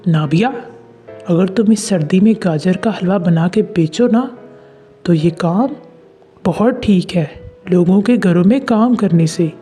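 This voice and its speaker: A man voicing working men in a story, with a husky voice and scripted dialogue. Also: high voice, unhurried, mellow, faint music behind. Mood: earnest. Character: several story men: labourer, boss, husband, officer.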